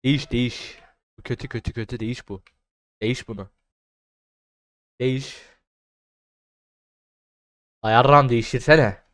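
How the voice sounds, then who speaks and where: A young man doing casual, slangy game commentary, swearing freely and repeating words in excited bursts. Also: mid-range voice, measured pace, long pauses, no echo, clean studio sound. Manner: foul-mouthed, slangy. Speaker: gaming streamer.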